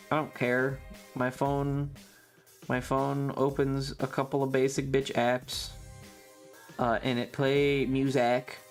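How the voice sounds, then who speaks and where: A livestream host chatting casually with viewers in a rambling, loose, casually profane way. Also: medium pitch. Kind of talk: casual chat with stream viewers.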